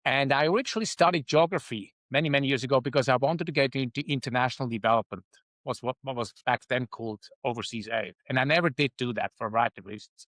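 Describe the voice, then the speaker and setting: A man in his fifties speaks with a Swiss accent, thinking aloud and pausing on ums and uhs. His voice sits in a middle pitch. The recording is dry and close. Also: a bit bright, no room sound. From Switzerland. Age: 50s. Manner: reflective, with frequent ums and uhs. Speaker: guest. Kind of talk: casual interview talk.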